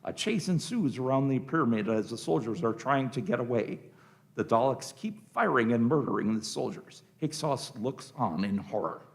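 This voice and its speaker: A male narrator reads scripted stage action for a radio drama. His voice is medium in pitch with a strong creaky, gravelly edge. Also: animated, normal speed.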